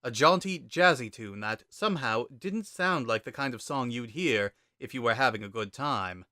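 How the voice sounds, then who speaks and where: A man giving a measured, dramatic narration of a scripted story. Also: medium pitch, lively intonation, sharp tone, no room sound, studio quality.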